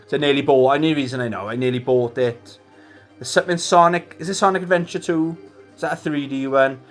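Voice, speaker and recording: Welsh male streamer, mid-pitched, informal and rambling, jokey gamer chat, light music underneath. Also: fairly even intonation, a little fast. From Wales. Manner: rambling, jokey, swears casually. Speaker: host of a gaming live stream. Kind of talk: casual chat to live viewers.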